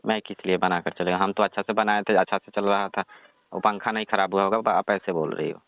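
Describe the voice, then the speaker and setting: A man plays a reluctant young village repairman in a comic call skit. His voice is deep, and he grumbles and refuses in a colloquial way. It sounds dry and narrow, like a phone recording. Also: fairly even intonation, a little fast, no echo. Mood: grumbling. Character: reluctant, exasperated young village electrician fending off advances.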